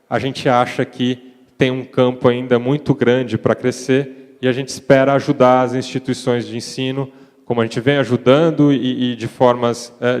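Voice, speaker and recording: A man with a Brazilian accent giving a conference presentation in a relaxed, conversational way, with frequent fillers. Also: medium pitch, smooth. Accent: Brazilian Portuguese. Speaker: conference panel speaker. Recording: reverberant conference hall.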